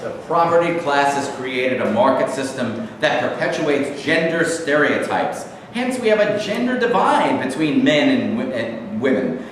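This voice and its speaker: A man's voice: mid-range voice, sing-song, unhurried, few pauses. A man playing an earnest socialist activist in a staged reading, making declamatory, sloganeering speeches. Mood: rousing, preachy. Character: earnest, preachy socialist activist leading a meeting. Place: live staged reading in a reverberant room. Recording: very echoey hall from far off, faint noise.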